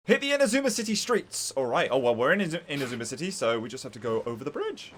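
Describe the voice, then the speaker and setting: Animated male game streamer. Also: medium pitch, fast, slight background noise. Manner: expressive, reactive. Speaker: streamer reacting to a video game. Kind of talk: casual live game commentary.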